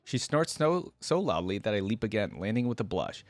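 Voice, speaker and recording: A man voicing a shy, clumsy young female fawn spirit as he reads a fantasy story aloud in a gentle, hesitant manner. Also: fairly low pitch, sing-song, a little fast, no room sound. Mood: timid wonder. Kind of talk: first-person fantasy story read aloud.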